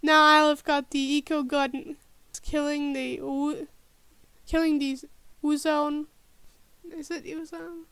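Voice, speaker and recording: A woman with a high voice and a command of works through a text aloud at an unhurried pace. She stops and restarts often, mutters to herself and tests how words are pronounced. The sound is close and dry. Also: little pitch movement, fairly bright tone, dry recording. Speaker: podfic reader. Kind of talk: informal rehearsal of a read-aloud, checking pronunciations.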